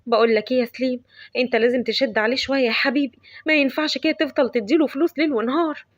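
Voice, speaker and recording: A woman's voice: high voice, steady tone, very fast, no echo, close to an everyday voice. A woman voicing a fussing older mother with an Egyptian Arabic accent, doting and nagging.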